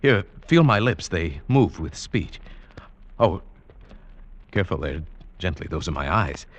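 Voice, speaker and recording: A man's voice: low-pitched, sing-song, measured pace, lo-fi sound, full character voice. An actor playing an eager, self-assured mountaineer telling his adventure in theatrical vintage radio drama style. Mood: reassuring. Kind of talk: vintage radio drama acting, narration and dialogue. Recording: vintage radio broadcast with music behind.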